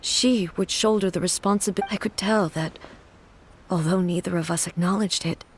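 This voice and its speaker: A woman playing a solemn, regal warrior god and ruler in a video game, speaking in a formal, grave, measured manner. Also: fairly low pitch, steady tone, slight background noise, natural voice. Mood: solemn, mournful.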